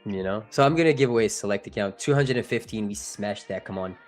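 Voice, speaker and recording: A young man with a Montreal French-Canadian accent hosts a livestream in a loose, rambling way, often laughing and speaking straight to his chat. His voice sits on the lower side. Faint music plays quietly underneath him. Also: fast. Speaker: livestream host.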